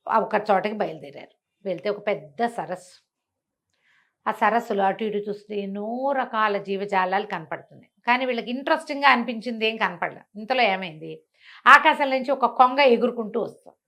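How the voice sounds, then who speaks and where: A woman's voice: mid-range voice, expressive, moderate pace, pauses often, a bit bright. A woman telling a bedtime story informally and conversationally, with explanatory asides and rhetorical questions.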